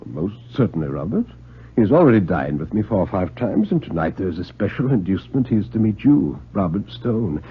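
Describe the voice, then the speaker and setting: A man voicing a genial, scheming old retired British police knight, theatrical and deliberate, in a radio drama. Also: lowish voice, sing-song, slightly rough, exaggerated voice. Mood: scheming, confident. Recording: muffled 1950s radio recording.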